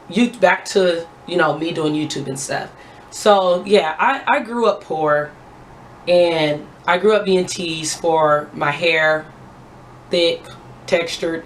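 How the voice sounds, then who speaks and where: A young woman with a raspy, low voice chatting heartfelt and casual as a beauty vlogger while doing her skincare at home. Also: slight background noise. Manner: chatty, heartfelt, thanks God often.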